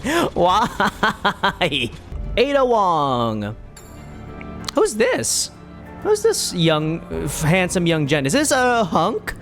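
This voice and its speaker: Playful game streamer, animated swooping pitch. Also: drawn-out words, over music. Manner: playful, theatrical, sing-song asides. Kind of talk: casual playthrough commentary.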